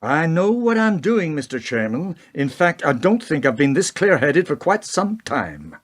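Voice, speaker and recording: Animated, defiant male president played by an actor. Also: fairly high pitch, a little slow. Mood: firm, composed. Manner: rhetorical questioning, oratorical. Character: defiant, principled male American president, indignant yet composed. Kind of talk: radio play acting.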